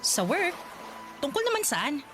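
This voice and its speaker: A woman's voice: high-pitched, sing-song, a little slow, music in the background. A woman playing an uneasy, suspicious young woman in love in a radio drama, probing hesitantly with a Filipino accent. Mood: curious, suspicious.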